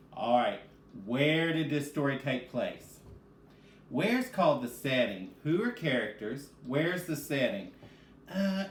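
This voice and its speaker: A man who is a reading teacher, speaking to children in a read-aloud lesson. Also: medium pitch. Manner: addresses children directly, asks and answers own questions. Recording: echoey room with faint background noise.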